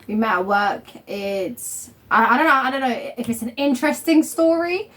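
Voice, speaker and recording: A young woman of Somali family hosts a relaxed couple vlog at home. She talks in a chatty, meandering way, full of fillers and laughter. Her voice sits slightly high, and the camera mic picks it up. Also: normal speed. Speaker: vlog host. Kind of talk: casual chat.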